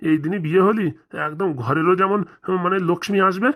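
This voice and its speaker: A man's voice: high-pitched, fast, a bit bright. A man voicing an ageing village priest, flustered and garrulous, rambling folksily in a for a comedy cartoon. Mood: boastful.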